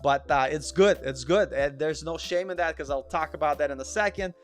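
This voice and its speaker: A man's voice: fairly high pitch, brisk, soft background music. A man from Russia with a slight Russian accent, hosting a casual live Q&A and chatting with viewers. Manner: chatty, reads out chat comments, repeats himself. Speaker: livestream host, developer.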